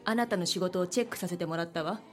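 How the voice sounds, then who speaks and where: A woman's voice: on the low side, fast, soft background music, close to an everyday voice. An actress playing a stern, demanding female manga artist boss in a radio drama, curt and condescending. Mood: stern, scolding.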